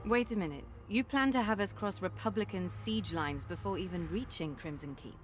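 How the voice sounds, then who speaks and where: A woman's voice: lowish voice, normal speed, close to an everyday voice. An actress voicing a pragmatic, hardened warrior woman in a fantasy video game, serious and direct. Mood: skeptical, determined. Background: game music.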